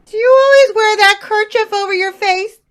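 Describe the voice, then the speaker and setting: A woman's voice: very high-pitched, moderate pace, fairly bright tone. A woman playing a spirited young society lady in an old-time radio crime drama, with a dramatic, exclamatory delivery. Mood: alarmed. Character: indignant, frightened but defiant young society woman.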